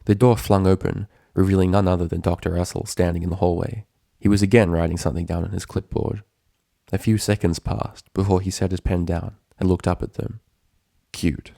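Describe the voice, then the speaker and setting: A man's voice: low-pitched, little pitch movement, brisk, creaky, warm tone, no echo, studio quality. A man reading a piece of fiction aloud as scripted story narration. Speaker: fan fiction reader.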